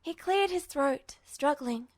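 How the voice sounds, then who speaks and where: A woman reading fiction aloud as a scripted story narrator, measured, with dramatic pauses. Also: high-pitched, animated. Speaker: amateur audiobook reader.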